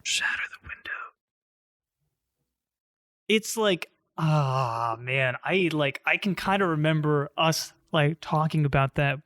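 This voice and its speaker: A man's voice: a little high, fairly bright tone, no room sound, clean studio sound. A young man co-hosting a podcast, joking and teasing his friend in casual chat. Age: young adult. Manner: joking, teasing, quick asides. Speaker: podcast co-host.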